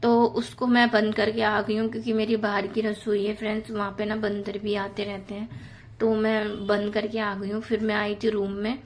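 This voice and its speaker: A woman with a hoarse, strained throat talks casually through her day's housework, filling gaps with little fillers. Her pitch stays level and flat. Faint noise sits behind the voice. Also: normal speed. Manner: chatty, many fillers, addresses viewers as friends. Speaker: home vlogger. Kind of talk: casual voice-over narrating housework.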